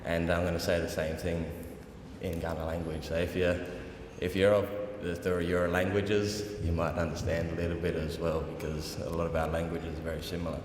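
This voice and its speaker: Young man, Australian accent, low pitch, informal welcome speech, colloquial, long hall reverb, some background noise. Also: a little fast. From South Australia. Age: young adult. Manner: relaxed, colloquial, unscripted. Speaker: dance group leader and language teacher giving a welcome. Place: large reverberant hall at a conference.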